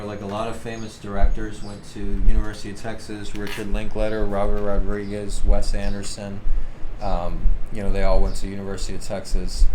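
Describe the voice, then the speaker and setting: A man hosting a livestream, talking in a casual, rambling, self-assured way. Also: on the low side. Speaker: livestream host and author. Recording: clearly noisy live stream.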